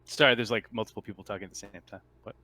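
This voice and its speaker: A man's voice: lowish voice, fairly even intonation, racing, sharp tone. A man casually narrating a tabletop roleplaying game as game master.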